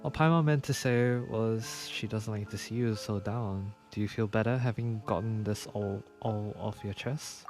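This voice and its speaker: A man giving casual, sympathetic playthrough commentary, often halting and repeating himself. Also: fairly low pitch, fairly even intonation, darkish tone. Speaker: streamer. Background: game music.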